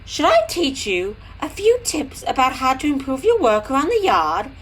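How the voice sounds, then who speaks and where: A woman's voice: high voice, big pitch swings, normal speed, noisy background. A woman playing a friendly female switcher engine in an audio drama, coy and politely teasing. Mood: teasing, helpful.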